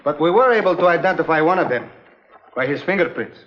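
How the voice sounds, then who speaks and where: An actor playing a polite, formal police lieutenant in a vintage drama, speaking deliberately on a dull old recording. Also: male voice, on the high side, expressive, close to an everyday voice. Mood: calm, courteous. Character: courteous, measured foreign police lieutenant, a man. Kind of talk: old-time radio drama acting.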